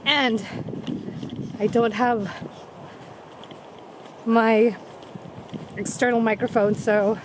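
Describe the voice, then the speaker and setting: A woman's voice: fairly high pitch, little pitch movement, very slow, pauses often. A woman with a cold, losing her voice, chatting casually as she walks an outdoor coastal trail, recorded on the camera's built-in mic. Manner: chatty, walking while she talks. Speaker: travel vlogger. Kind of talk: casual vlog narration while walking. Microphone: camera microphone. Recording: windy outdoor camera audio. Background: wind and outdoor noise.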